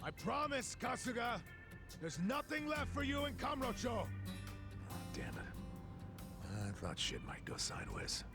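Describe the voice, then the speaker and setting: An actor playing a worried, gruff gangster in an English video game dub, with a gravelly voice. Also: male voice, very high-pitched, wildly swooping pitch, unhurried, pauses often, music in the background, full character voice. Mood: pleading, urgent. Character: gruff, worried gangster pleading with his friend.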